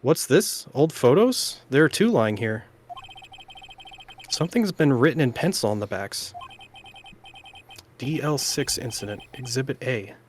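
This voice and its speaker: A man's voice: medium pitch, lively intonation, unhurried, pauses often. A man voicing a young defense lawyer from a video game, narrating what he observes in a wry, matter-of-fact way. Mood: musing, matter-of-fact.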